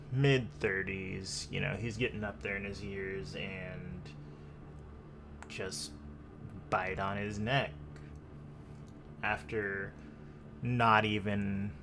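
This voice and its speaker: A man improvising descriptive narration as game master of a tabletop roleplaying game, pausing often as he goes. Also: lowish voice. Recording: faint background noise.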